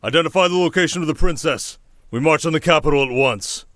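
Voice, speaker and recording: A man's voice: on the high side, a bit bright, no room sound, close to an everyday voice. Man acting a composed, dry-witted desert king. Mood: commanding. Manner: authoritative, dry, measured. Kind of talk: ASMR roleplay audio drama acting.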